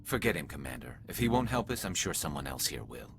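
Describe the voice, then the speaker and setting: Gravelly, skeptical squadmate, noisy backdrop. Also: male voice, low-pitched, very animated, fast talker, full character voice. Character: gruff, skeptical male squadmate of a commander. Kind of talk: video game cutscene acting.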